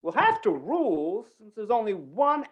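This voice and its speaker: A woman's voice: medium pitch, sing-song, muffled recording, close to an everyday voice. A woman playing a flustered, folksy, dithering small-town announcer in an old-time western radio play. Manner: dithering, halting, folksy, repeats words.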